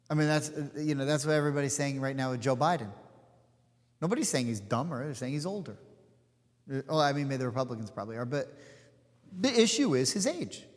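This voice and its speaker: A man in his forties leading an informal study class, chatty and digressive, with plenty of asides and fillers. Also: fairly high pitch. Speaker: rabbi teaching a class. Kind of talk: informal study-session teaching. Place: synagogue hall. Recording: hall with long reverb.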